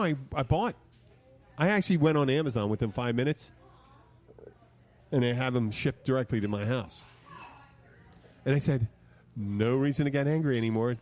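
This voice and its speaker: A man's voice: mid-range voice, a little mellow. A man hosting a casual podcast, telling a rambling, joking story with lots of restarts. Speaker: podcast host. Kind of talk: casual banter. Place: studio podcast room.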